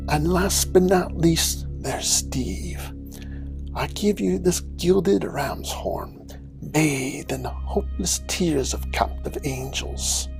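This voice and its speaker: Cartoon devil, silky and mock-polite, unhurried theatrical drawl, breathy gravelly creak. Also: male voice, on the high side, darkish tone, faint music behind. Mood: grand, sly. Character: suave devilish gentleman villain, sly and falsely kindly.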